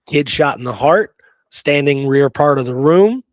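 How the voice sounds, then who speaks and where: A man with a mid-pitched voice, recorded close and dry, talks through history as a show host. He is informal and emphatic, moving between reading accounts and commenting on them, with frequent little check-ins to the listener. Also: lively intonation, unhurried, dry recording. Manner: informal, emphatic, checks in with "okay?" and "you know?".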